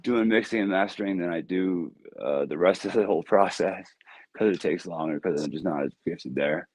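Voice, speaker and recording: A man with a deep voice, a music artist answering interview questions in a loose, easygoing way and laughing often. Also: moderate pace, darkish tone, no echo.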